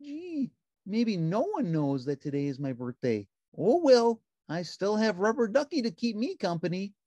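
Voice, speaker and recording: A woman's voice: medium pitch, big pitch swings, normal speed, dark tone, no room sound, highs cut off, pitched above the performer's own voice. A woman voicing an innocent, earnest young male puppet pal with a plaintive manner, in a children's storybook. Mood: puzzled, wistful.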